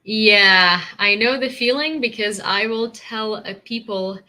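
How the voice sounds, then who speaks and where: Woman, young adult, from Ukraine, American accent, Ukrainian native speaker, livestream chat, laughs often, bright tone. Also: mid-range voice, takes it slowly, rough recording. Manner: chatty, laughs often, thanks viewers warmly. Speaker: livestream host.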